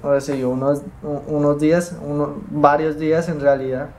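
A man from Bogotá with a Colombian accent, telling his story haltingly with many pauses, fillers and restarts. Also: on the high side, flat delivery, slight background noise. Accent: Bogotá Colombian Spanish. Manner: hesitant, many fillers and restarts, soft-spoken. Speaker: interviewee, migrant. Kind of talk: halting personal account.

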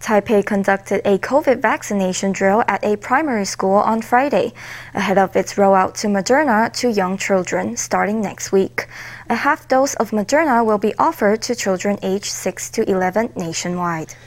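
Female, news anchor, clear formal bulletin reading. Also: medium pitch, normal speed. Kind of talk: news report narration.

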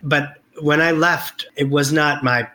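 A man's voice: on the high side, fairly even intonation, takes it slowly, bright, forceful tone. A middle-aged man answering interview questions in a reflective way, with many fillers and restarts. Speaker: interview guest.

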